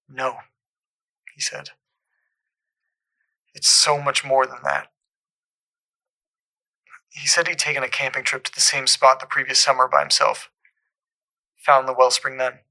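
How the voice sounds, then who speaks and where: An actor playing a troubled caller in an audio drama, haltingly and earnestly recounting an eerie supernatural memory. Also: male voice, mid-range voice, bright, forceful tone, rough recording. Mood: troubled, tense.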